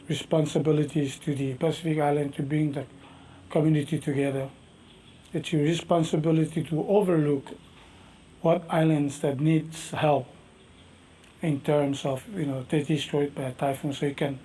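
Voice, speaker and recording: A middle-aged man from Micronesia, whose first language is Micronesian, answering interview questions slowly and thoughtfully, with many pauses and fillers. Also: a little high, mellow, a little hiss or hum, poor audio. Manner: slow, thoughtful, halting speech with many fillers. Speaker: master navigator and canoe captain.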